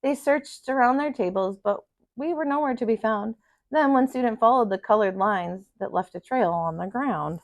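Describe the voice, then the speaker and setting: A woman reading a children's picture book aloud in an expressive kindergarten teacher's style. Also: on the high side, moderate pace. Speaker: kindergarten teacher, storybook reader.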